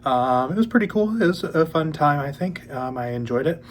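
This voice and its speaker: A man's voice: a little high, normal speed, a little hiss or hum. Sniffly young man chatting about books. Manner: relaxed, rambling, many ums and uhs. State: sniffly, recovering from a cold. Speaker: book channel host. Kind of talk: casual monthly reading update.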